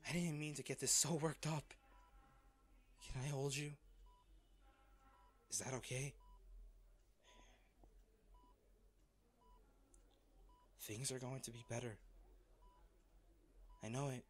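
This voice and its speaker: A man playing a wealthy, devoted, protective lover in a romantic audio roleplay, speaking tenderly and earnestly with halting phrases. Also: mid-range voice, fairly even intonation, soft background music, natural voice. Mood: soft, soothing.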